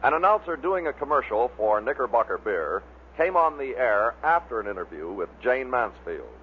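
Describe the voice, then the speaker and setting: A man's voice: medium pitch, sing-song, normal speed. A man reading scripted narration that introduces clips, as the narrator of a compilation. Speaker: narrator of a radio bloopers compilation. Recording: muffled vintage narrow-band recording with hiss.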